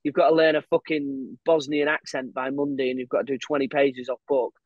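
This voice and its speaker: A man's voice: medium pitch, flat delivery, a little fast, dry recording, phone-quality sound. A man co-hosting a podcast, bantering and teasing with frequent swearing. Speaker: podcast co-host. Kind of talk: casual chat over video call. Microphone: headphones and webcam on a video call.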